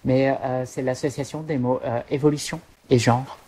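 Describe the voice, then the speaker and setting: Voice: medium pitch, steady tone, normal speed, darkish tone, slight background noise. A podcast panelist chatting casually, hesitant and often repeating words while searching for phrasing.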